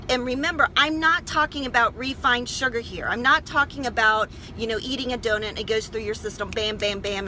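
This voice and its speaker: A woman with a fairly high, very bright and forceful voice speaks as a health coach to her online viewers. She is informal and emphatic, often checking in with the listener. There is some background noise behind her. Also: low-quality recording. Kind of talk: informal livestream talk to viewers.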